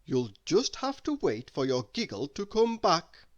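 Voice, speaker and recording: A woman voicing a brisk male storybook doctor, pondering and matter-of-fact, a little bossy. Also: lowish voice, big pitch swings, no echo, highs cut off. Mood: regretful.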